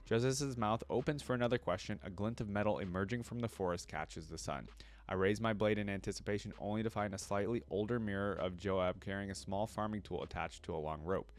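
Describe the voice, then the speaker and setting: A man's voice: on the low side, brisk. A man reading a first-person story aloud expressively, as a content creator who also reacts casually.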